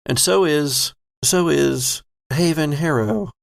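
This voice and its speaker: Slow, gravelly male game master. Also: fairly high pitch. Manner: deliberate, dramatic storytelling. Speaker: game master, podcast host. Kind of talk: narrating a tabletop horror game.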